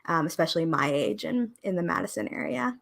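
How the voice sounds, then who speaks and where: A young woman from Oregon, in her twenties, talks through her story in an easygoing, rambling way. She leans on fillers and small laughs. Her voice sits a little low for a woman. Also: lively intonation, fairly quick, creaky. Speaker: guest, podcast producer. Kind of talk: casual conversation interview.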